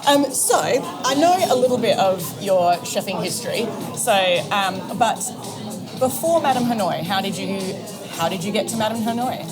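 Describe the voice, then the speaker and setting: A woman hosting a food podcast, chatty and quick to laugh along with her guest. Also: mid-range voice, lively intonation. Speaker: podcast host. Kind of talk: casual interview chat. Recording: music playing behind.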